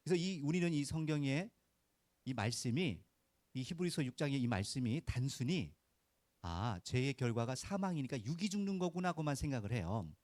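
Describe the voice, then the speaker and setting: A man's voice: medium pitch, lively intonation, moderate pace, a little mellow, no room sound. A man preaching a conversational Sunday sermon as a pastor, in a folksy, informal way, often putting short rhetorical questions to his listeners. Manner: asks rhetorical questions and prompts listeners for answers.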